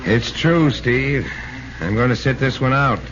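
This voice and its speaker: A man's voice: mid-range voice, expressive, a little slow, fairly bright tone. A big American ballplayer in an old-time radio drama. He is loyal, stubborn and plain-spoken, talking in period slang. The recording is muffled and slightly noisy. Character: stubborn, loyal, troubled big star ballplayer, adult man. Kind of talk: 1940s radio acting.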